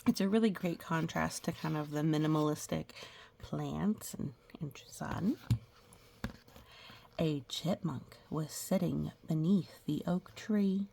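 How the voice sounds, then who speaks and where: A woman reading a children's picture book aloud, with playful sound effects and casual, gentle explanatory asides. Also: low voice, slow pace, gravelly, a little hiss or hum. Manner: explains gently to young listeners.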